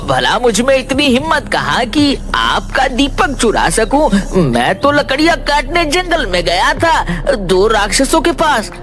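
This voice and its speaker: A humble male villager voiced by an actor in a cartoon folk tale. He speaks deferentially and earnestly, with very animated intonation. Soft music runs behind the voice. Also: extremely high pitch, unhurried, hardly any pauses, full character voice. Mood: humble, pleading. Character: humble, clever poor woodcutter, village husband. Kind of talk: Hindi animated fairy tale dialogue.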